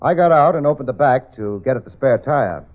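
Actor as a brisk radio-drama private eye. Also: male voice, medium pitch, expressive, lightly acted. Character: brisk, wisecracking private investigator. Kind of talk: 1940s radio acting. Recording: tinny old radio broadcast.

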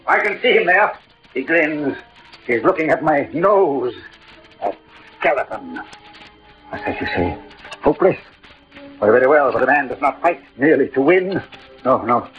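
A man's voice: mid-range voice, big pitch swings, lightly acted. An actor playing a proud, defiant, poetic dying swordsman in theatrical, declamatory 1940s radio verse style. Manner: declamatory, grandiloquent, dramatic pauses. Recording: muffled vintage radio recording, music behind.

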